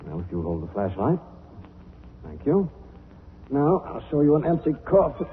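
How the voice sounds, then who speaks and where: An actor playing an assured, skeptical gentleman sleuth in a 1940s radio drama, explaining things to his companion. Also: fairly high pitch, sing-song, normal speed, phone-quality sound. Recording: thin, noisy 1940s radio recording.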